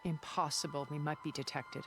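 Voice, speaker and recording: An actress playing a composed, secretive older woman in a video game, speaking in a measured, formal, articulate way. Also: low voice, fairly even intonation. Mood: grave, firm. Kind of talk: dramatic video game dialogue. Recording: background music under the voice.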